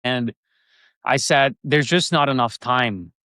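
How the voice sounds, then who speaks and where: A man's voice: medium pitch, slow, pushed and bright, no room sound, clean studio sound. A man from Switzerland with a Swiss German accent, a guest in a casual interview, rambling with restarts and fillers.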